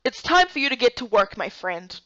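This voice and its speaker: A woman voicing a stern, irritated woman leader in a visual novel game, speaking with sharp, emphatic authority. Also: fairly high pitch, big pitch swings, brisk, no echo, dull audio. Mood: curt. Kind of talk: visual novel character voice-over.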